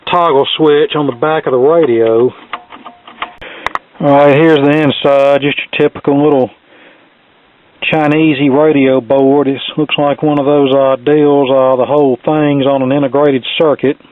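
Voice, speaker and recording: A man's voice: fairly high pitch, no room sound. A man with a plainspoken, folksy manner, casually narrating a hands-on repair as he works. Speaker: radio repair video host. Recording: thin phone-like sound, faint noise.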